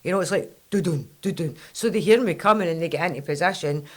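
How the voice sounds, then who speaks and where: A low, husky-voiced Scottish woman hosts a casual podcast chat. She tells stories with animated energy, swears freely, and her voice sits a little low for a woman. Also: fast talker. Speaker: podcast host.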